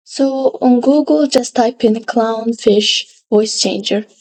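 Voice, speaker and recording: A woman narrating a casual step-by-step software tutorial as its presenter. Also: fairly high pitch, slow pace, low-quality recording.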